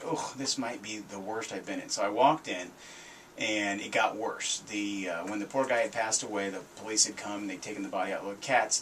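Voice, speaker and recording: A middle-aged American man with a fairly low voice talks casually as a radio host. He tells stories conversationally and leans on repetition for emphasis. There is some noise behind him. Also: fairly bright tone, poor audio. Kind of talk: casual radio talk, storytelling. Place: small foam-treated radio studio, indoors. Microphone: studio microphone on boom arm.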